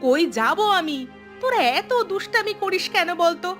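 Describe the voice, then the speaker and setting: A woman's voice: very high voice, animated, moderate pace, fairly bright tone, soft background music. A woman voicing a nagging, exasperated village mother in a cartoon, scolding and complaining. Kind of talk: cartoon voice acting.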